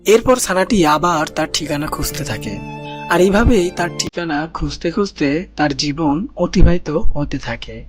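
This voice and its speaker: A man narrating a children's cartoon story in a gentle storybook manner, speaking to young listeners as friends. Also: fairly high pitch, expressive, measured pace. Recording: voice-over with loud background music.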